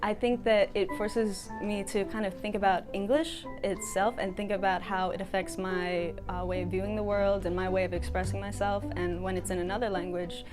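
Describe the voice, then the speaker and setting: A woman's voice: mid-range voice, flat delivery, normal speed, hardly any pauses. Thoughtful young woman over music. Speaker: student interviewee. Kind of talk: casual interview answers.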